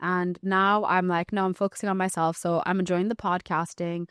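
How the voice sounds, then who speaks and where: A woman hosting a podcast in a casual, candid conversation, telling stories in an animated, slangy way. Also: on the low side, normal speed, clear, resonant tone, dry recording, studio quality. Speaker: podcast host.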